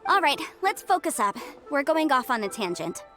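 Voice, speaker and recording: Bright, confident young lawyer girl, high and animated, articulate, music behind. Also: exaggerated voice. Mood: businesslike. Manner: articulate, brisk, self-assured, lawyerly. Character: bright, confident young female legal advisor. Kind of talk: anime-style game voice acting.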